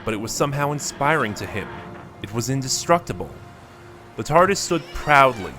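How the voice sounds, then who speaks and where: Guy reading a sci-fi story aloud, steady and measured, faint music behind. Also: mid-range voice. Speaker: narrator.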